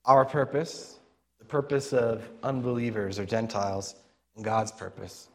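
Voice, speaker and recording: A man preaching an informal sermon as a pastor, in a raspy, strained voice that he pushes for volume, speaking conversationally and earnestly with pauses mid-phrase. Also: lowish voice.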